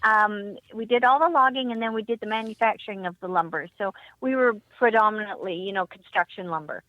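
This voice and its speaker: A woman's voice: mid-range voice, fairly quick, a bit bright, no room sound, muffled recording. A woman chatting as a guest on a talk show, friendly and full of ums. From Canada. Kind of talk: casual chat.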